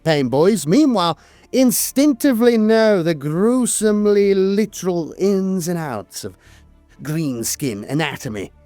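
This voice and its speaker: A man reading scripted fantasy war lore as a narrator, in a theatrical, deliberate manner with pauses and hesitant filler sounds. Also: high-pitched, expressive, dark tone, dry recording. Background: music.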